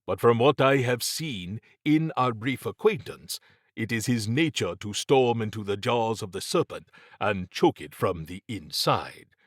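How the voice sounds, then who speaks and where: A man voicing a grave, courteous, learned occult scholar in an audiobook, speaking in a formal, measured, portentous way. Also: mid-range voice, lively intonation, no echo, studio quality. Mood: wry. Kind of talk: audiobook character dialogue.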